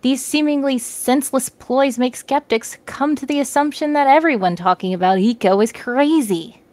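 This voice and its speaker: A woman's voice: high voice, normal speed, a little mellow, no room sound, voice pushed up, close to an everyday voice. Woman reader as young woman activist, fervent student, storybook, dry close sound.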